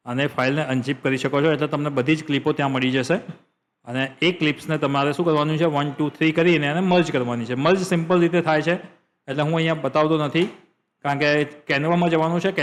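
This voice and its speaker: Higher-voiced Gujarati man teaching software. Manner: explanatory, repeats phrases for emphasis. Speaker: tutorial host. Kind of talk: screen-share software tutorial.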